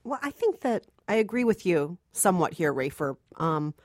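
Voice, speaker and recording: A woman co-hosting a film review podcast, measured and articulate in casual conversation. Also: lowish voice, big pitch swings, dry recording, clean studio sound.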